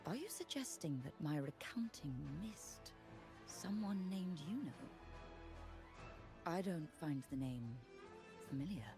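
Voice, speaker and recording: A solemn priestess of a fantasy video game. Her voice is low and slow, formal in its wording, yet with lively rises and falls. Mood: baffled, formal. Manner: formal, measured. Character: solemn priestess who recounts prophecies. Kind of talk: scripted video game acting. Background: game music.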